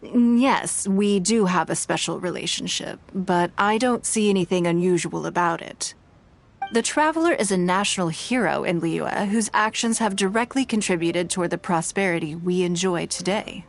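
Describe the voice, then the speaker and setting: An actress playing an elegant, shrewd noblewoman tycoon in a fantasy video game, composed, formal and measured. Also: lowish voice, creaky, slight background noise. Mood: composed, amused.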